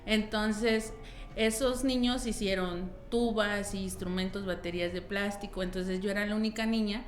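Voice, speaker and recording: Mexican lady remembering stuff in an interview, medium pitch, echoey room. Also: steady tone, moderate pace. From Tingambato, Michoacán, Mexico. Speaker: musician interviewee. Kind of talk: personal recollection in interview. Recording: echoey room, faint music underneath.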